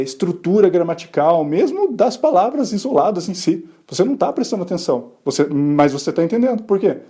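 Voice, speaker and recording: Male podcast host, relaxed mellow tone, slightly higher voice, chatty explainer who answers his own rhetorical questions. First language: Portuguese. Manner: tag questions and fillers. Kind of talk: casual science explainer, speaking to listeners.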